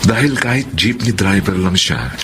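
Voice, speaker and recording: A man's voice: low-pitched, lively intonation, moderate pace, a bit bright, a little hiss or hum. A man playing a grateful, courteous doctor in a Tagalog radio drama, speaking politely and reassuringly. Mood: warm, reassuring.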